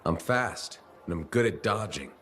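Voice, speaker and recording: A man's voice: low voice, lively intonation, normal speed, fairly bright tone, a little hiss or hum. An actor playing a wry, guarded freelance pilot in a video game, casual and sardonic as he deflects. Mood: deflecting. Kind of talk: video game character acting.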